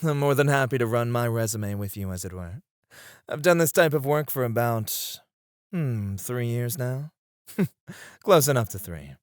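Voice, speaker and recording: A man playing a suave, flirtatious male escort in an ASMR roleplay, teasing and courteous, with drawn-out phrasing. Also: medium pitch, animated, clear, resonant tone, no room sound, clean studio sound, close to an everyday voice. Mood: teasing, boastful. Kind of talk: ASMR roleplay, addressed to one listener.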